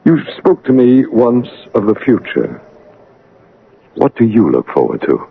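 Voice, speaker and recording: An actor playing a brooding, commanding gentleman master and passionate suitor in an old-time radio drama, abrupt and ardent in manner. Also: medium pitch, wildly swooping pitch, vocal fry, heavily acted. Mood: guarded, teasing. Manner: teasing, abrupt, ardent, halting for effect. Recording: dull, band-limited old radio broadcast.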